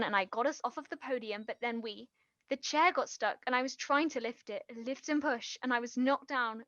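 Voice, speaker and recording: An actress playing an earnest, guilt-ridden young woman, halting and pleading, in a naturalistic stage drama. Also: sits high, fairly bright tone, no echo, muffled recording. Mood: anguished, remorseful.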